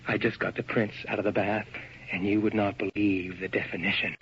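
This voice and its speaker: An actor playing an enthusiastic, slangy photographer's assistant in a radio drama, hip and wisecracking. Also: male voice, on the low side, little pitch movement, brisk, close to an everyday voice. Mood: excited, eerie. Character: breezy, enthusiastic young male photographer's assistant. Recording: noisy, dull old radio recording.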